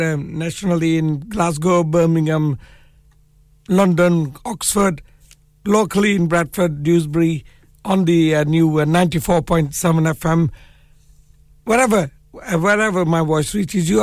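A man hosting a live community radio show, hoarse with a cold and croaky, chatting in a relaxed way with many fillers. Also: fairly high pitch, normal speed, dry recording, clean studio sound. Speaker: radio presenter. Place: radio studio.

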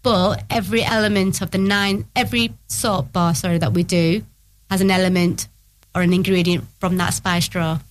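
A middle-aged woman guest on a radio interview, explaining her small business eagerly and warmly, with the odd restart. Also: on the low side, fairly even intonation, normal speed, poor audio.

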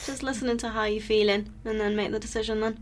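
Supportive woman, slightly high voice. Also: steady tone. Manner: supportive, agreeable, quick to back up her co-host. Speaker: podcast co-host. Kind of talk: casual radio chat.

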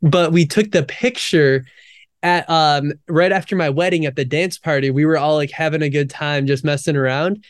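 Youthful musician, slightly high voice. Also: male voice, moderate pace. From United States. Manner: relaxed storyteller, laughs often, many fillers. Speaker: musician guest. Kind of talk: casual interview chat.

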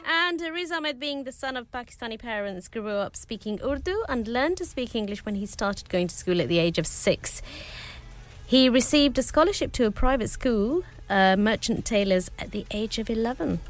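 A woman hosting a casual celebrity commentary, pausing often with filler sounds as she reads out facts. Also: fairly high pitch, animated, soft background music. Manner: hesitant, many filler sounds. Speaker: host.

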